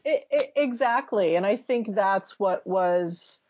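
A woman's voice: medium pitch, expressive, takes it slowly, darkish tone, lo-fi sound, slight background noise. A woman in her fifties, a memoir author answering interview questions thoughtfully, searching for words and restarting often. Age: 50s.

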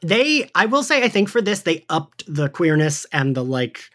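A man hosting a podcast, chatting casually and informally with lively delivery. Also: fairly high pitch, moderate pace. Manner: animated, informal. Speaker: podcast host. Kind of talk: casual fan podcast chat.

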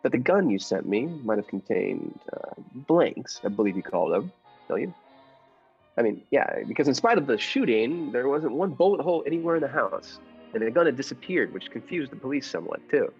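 A man's voice: medium pitch, sing-song, fairly quick, slightly rough, dull audio, soft background music. A man playing a meek, nervous henpecked husband who narrates his scheme in a radio play, hesitant, earnest and formal. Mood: pleased, hesitant. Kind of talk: improvised-cast classic radio play narration.

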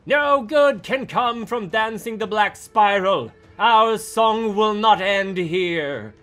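A man's voice: medium pitch, unhurried, vocal fry, sharp tone, husky, soft background music, pitched above the performer's own voice, exaggerated voice. A man voicing a depraved, exultant wolf creature cult, chanting and declaiming in a dramatic fantasy story. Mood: ominous chanting. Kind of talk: dramatic audiobook-style character acting.

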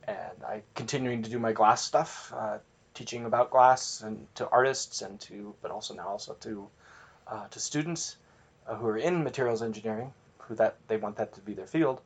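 A middle-aged man talking as a podcast guest in casual chat, halting and often restarting as he searches for words. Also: lowish voice.

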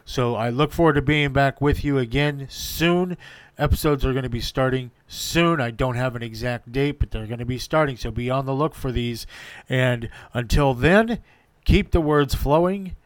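A man's voice: mid-range voice, normal speed. A man hosting his own podcast, giving an earnest solo update and listing his points in repeated runs. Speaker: podcast host.

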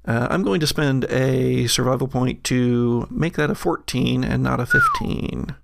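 A man narrating an improvised horror tabletop game as game master, halting and pausing mid-sentence to build suspense. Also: medium pitch, wildly swooping pitch, gravelly, very noisy.

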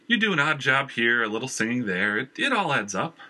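A man's voice: mid-range voice, sing-song, brisk, pushed and bright, voice pushed up, exaggerated voice. A man voicing a frightened but defiant young woman singer who stammers and trembles. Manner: stammering, shaky.

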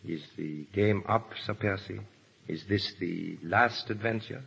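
A cold French revolutionary villain, menacing and icily courteous. His voice is sharp and a little rough, with clipped, commanding delivery. The old radio drama sound is muffled and echoes like a hall. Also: deep voice, measured pace, exaggerated voice. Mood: coldly triumphant. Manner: clipped, forceful, icily polite. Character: cold, menacing, triumphant male agent of revolutionary France. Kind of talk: 1930s radio drama acting. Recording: muffled 1930s radio broadcast, hall reverb.

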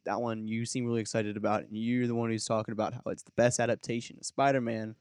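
A man's voice: on the low side, little pitch movement, quick pace, a little mellow, clean tone, dry recording, studio quality. A young man co-hosting a podcast in casual chat, agreeable and easygoing. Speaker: podcast co-host.

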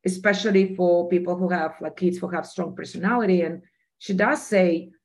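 A woman's voice: lowish voice, normal speed, a little mellow. A woman who is a native Russian speaker with a Russian accent, talking earnestly and emphatically as a guest in a conversational interview, repeating phrases for emphasis. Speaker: podcast guest, entrepreneur.

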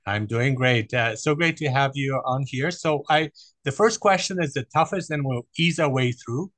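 A man's voice: medium pitch, moderate pace, fairly bright tone, dry recording. A man hosting a podcast interview, asking questions and affirming his guest in a reflective, friendly way. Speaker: podcast host. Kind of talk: casual interview.

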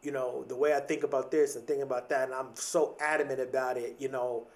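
A man's voice: mid-range voice, level tone, a little fast, darkish tone, recorded from a distance. A man in his thirties talking in a reflective, casual podcast monologue, often repeating and restarting words, with casual slang. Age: 30s.

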